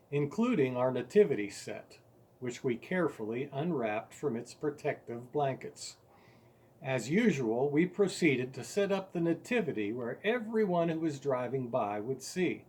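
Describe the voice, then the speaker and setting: A man reading his own story aloud as its author, in an expressive, deliberate storytelling manner with emphasized words. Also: a little high, husky. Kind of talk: scripted story narration.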